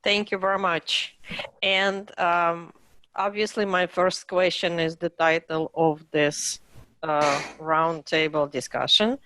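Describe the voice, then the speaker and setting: A woman hosting and moderating an online panel discussion, speaking in a measured way with occasional fillers. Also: fairly low pitch, level tone, fairly bright tone, dry recording. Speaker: host and panel facilitator.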